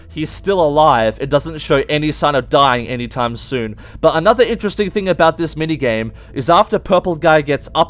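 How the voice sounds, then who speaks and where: A man hosting informal explanatory video game commentary, emphatic and stretching key words as he goes. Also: fairly high pitch. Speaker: video game theory host.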